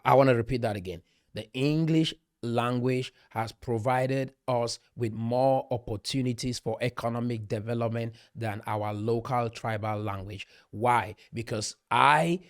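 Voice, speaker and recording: A man's voice: mid-range voice, dry recording, clean studio sound. A middle-aged Cameroonian man with a Cameroonian accent, speaking emphatically to camera and often asking rhetorical questions. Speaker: commentator. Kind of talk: direct-to-camera monologue.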